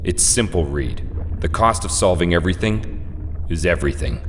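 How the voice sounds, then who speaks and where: A man voicing a grave, resolute scientist in a superhero comic dub, speaking in a solemn, measured way. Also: rumbling low, background noise. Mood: bleak, resigned. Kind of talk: dramatic comic dub voice acting. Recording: long hall reverb under loud music.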